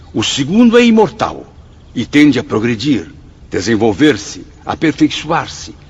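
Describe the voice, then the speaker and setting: A dignified spirit pupil in a Brazilian radio drama, reciting solemnly with wide swings of pitch. His husky voice is formal and deliberate, carried in long hall reverb. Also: highs cut off, full character voice. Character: Camilo, earnest, dignified male spirit pupil reading a lesson aloud.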